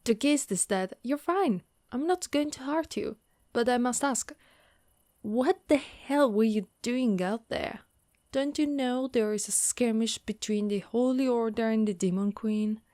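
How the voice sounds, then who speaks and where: A woman's voice: on the high side, a little slow, mellow, no echo, clean studio sound, close to an everyday voice. A woman voicing a caring, wry female Dullahan, an undead knight, in a reassuring, teasing, conversational ASMR monster roleplay. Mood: calm, explaining. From Italy. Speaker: voice actress.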